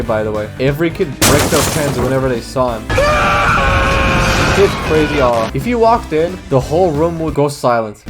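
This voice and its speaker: A young man from Georgia, telling school stories in a casual, edgy, sarcastic way. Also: mid-range voice. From Georgia (country). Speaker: YouTuber. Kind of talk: comedic storytelling narration. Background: background music.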